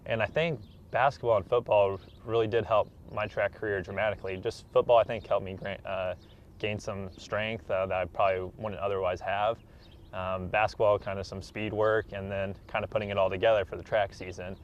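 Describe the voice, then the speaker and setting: A man's voice: on the low side, level tone, a little fast. A man, answering casually as an athlete being interviewed. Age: 20s. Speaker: interview guest, professional track athlete. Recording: dull, slightly noisy room recording.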